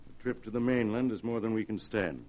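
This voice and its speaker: An actor playing a smug, sly schemer posing as an uncle, wry and smooth-talking, in 1940s radio acting style. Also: male voice, on the low side, fairly quick, close to an everyday voice. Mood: resigned confession. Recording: dull vintage 1940s radio recording.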